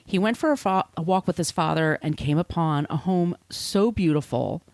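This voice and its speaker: A woman's voice: fairly low pitch, animated, moderate pace, darkish tone, no echo. A woman hosting a podcast, telling a researched story in a conversational, irreverent way with casual swearing. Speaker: podcast host.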